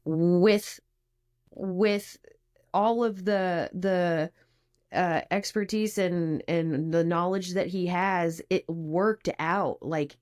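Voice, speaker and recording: A woman's voice: fairly low pitch, fairly even intonation, drawn-out words, a little mellow. A woman guest on a podcast, speaking in a brash and outspoken way with casual swearing. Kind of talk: casual interview chat.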